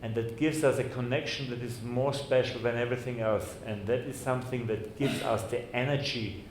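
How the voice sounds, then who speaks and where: A man speaks to fans in an earnest, deliberate way and repeats key words. His voice sits at a middle pitch, and the room is echoey, with a faint noise behind him. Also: steady tone. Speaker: football manager on a panel.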